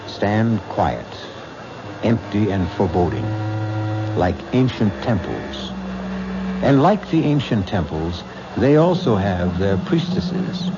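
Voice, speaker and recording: A man hosting and narrating an old-time radio mystery in a deliberate, ominous, theatrical style. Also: on the low side. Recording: muffled, noisy old radio broadcast.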